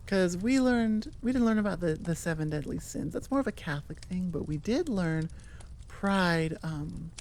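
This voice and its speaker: A woman's voice: fairly low pitch, expressive, normal speed, a little mellow, slight background noise. A woman giving a casual, rambling outro as host of her audio channel, self-deprecating and wandering off on tangents at the microphone. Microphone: sitting at a computer microphone, recording into audio software.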